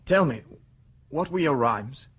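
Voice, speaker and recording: A man's voice: medium pitch, lively intonation, measured pace, a bit bright, husky, heavily acted. An actor playing an earnest, idealistic young male outsider who speaks plainly and directly, in a radio drama.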